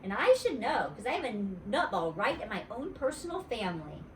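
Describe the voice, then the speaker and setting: A woman voicing a boastful, nosy little schoolgirl in a storybook, excitable and childish. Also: on the high side, fairly quick, slight background noise. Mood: matter-of-fact, teasing. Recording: roomy echo, distant mic.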